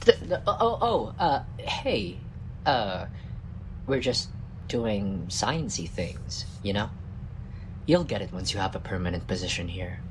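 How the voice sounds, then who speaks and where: A man's voice: on the low side, sing-song, a little slow, background noise. A man playing a cocky, bumbling little scientist. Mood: flustered. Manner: flustered, stammering, smug. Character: tiny male scientist Carlyle, cocky, bumbling, crude flirt. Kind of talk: scripted comedic audio roleplay.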